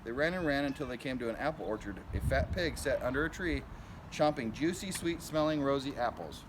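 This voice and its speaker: A man's voice: mid-range voice, animated, fairly quick, noisy background. A man reading a picture book aloud to children as a school principal, in simple narration with asides about the pictures.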